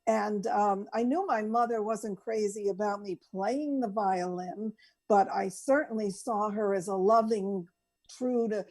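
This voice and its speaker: A woman co-hosting a casual talk, telling personal stories in a halting, deliberate way. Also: medium pitch, darkish tone. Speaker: co-host.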